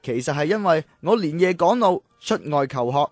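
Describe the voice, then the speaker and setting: Earnest, high-pitched young scholar. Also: male voice, lively intonation, normal speed, no echo, faint music behind. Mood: earnest, polite. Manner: earnest, hesitant. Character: earnest young male scholar of ancient China, timid, polite. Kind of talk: radio drama acting.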